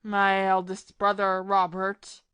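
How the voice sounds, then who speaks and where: A woman's voice: mid-range voice, completely flat delivery, slow, a bit bright, smooth, no room sound, muffled recording. A woman acting a lovesick, forlorn man in an audio play, pleading wistfully with his sweetheart.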